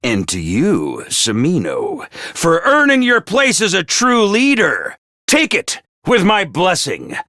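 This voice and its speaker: Squeaky little robot commander guy, super bossy and showy, swoops up and down, bright dry studio sound. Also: huge pitch swings, takes it slowly, dry recording, full character voice. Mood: boastful. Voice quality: squeaky, over-the-top. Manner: grandstanding, self-important, prone to shouting. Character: small bossy male robot commander, theatrical and excitable. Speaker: voice actor. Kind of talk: video game voice acting. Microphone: dry studio mic.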